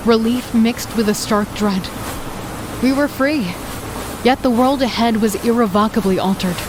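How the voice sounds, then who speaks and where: A woman giving steady first-person narration for an audio drama. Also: mid-range voice. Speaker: narrator and lead voice actor.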